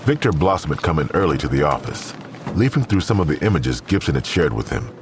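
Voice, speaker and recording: Man, bottomless low voice, animated intonation, scripted crime story narrator, noisy background. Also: fast talker. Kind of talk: scripted third-person crime drama narration.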